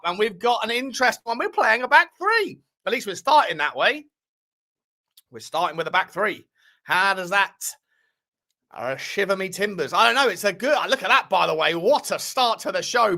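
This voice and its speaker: A man hosting a live football watchalong, hyped and playful as he chats and shouts out viewers. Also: high-pitched. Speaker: fan channel host.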